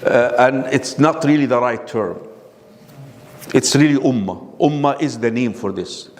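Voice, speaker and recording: A man's voice: mid-range voice, a little slow. Male lecturer in a reverberant hall. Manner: keeps quizzing the audience with questions. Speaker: Islamic lecturer. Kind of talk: classroom lecture.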